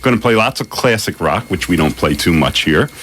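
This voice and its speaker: Laid-back male radio DJ, low voice, casual studio chat. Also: lively intonation, fairly quick, fairly bright tone, slight background noise. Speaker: radio DJ guest.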